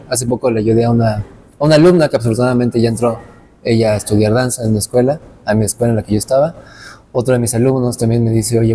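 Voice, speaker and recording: A man's voice: mid-range voice, dark tone, a little hiss or hum. A man in his late twenties from Veracruz, a dance teacher, talking reflectively and earnestly in a casual interview. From Veracruz, Mexico. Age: late 20s. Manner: reflective, earnest, with frequent restarts.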